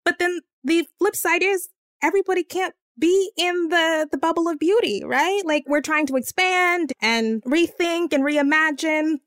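A woman's voice: unhurried, a bit bright, no room sound, clean studio sound. Earnest, emphatic woman guest. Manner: earnest, emphatic, rising pitch when stressing points. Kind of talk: earnest conversation.

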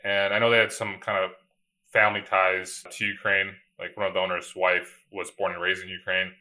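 A man's voice: deep voice, little pitch movement, moderate pace, sharp tone, poor audio. A man, a company founder telling his story in a casual, easygoing way, full of repeated words and "like". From Chicago, USA. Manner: easygoing, frequent word repeats and "like" fillers. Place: remote call from home.

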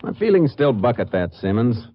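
An American man playing a calm, dogged Texas Ranger in a 1950s western radio drama, questioning in a measured, probing way. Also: medium pitch, a little hiss or hum, lightly acted. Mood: determined. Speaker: lead actor and narrator. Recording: muffled old radio broadcast, music.

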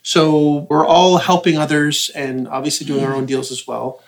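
Pria pembawa podcast, gaya santai. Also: male voice, on the high side, fairly even intonation, normal speed, vocal fry. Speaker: podcast host. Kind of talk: casual podcast chat. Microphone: podcast mic.

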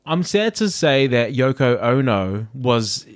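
A man's voice: medium pitch, sing-song, slow. A man co-hosting a casual review podcast, giving blunt, emphatic verdicts. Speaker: podcast co-host.

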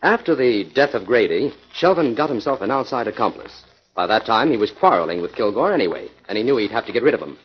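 An actor with an American accent playing a confident, analytical crime-solver in a 1940s radio drama, speaking in an assured, explanatory way. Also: male voice, medium pitch, sing-song, fast talker, lightly acted. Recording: dull 1940s radio recording.

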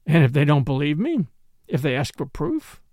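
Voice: mid-range voice, big pitch swings, normal speed, a little mellow, dry recording, clean studio sound, natural voice. A reader voicing a suave, shrewd French count in an audiobook story, languid, polite and ironic. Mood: shrewd, musing.

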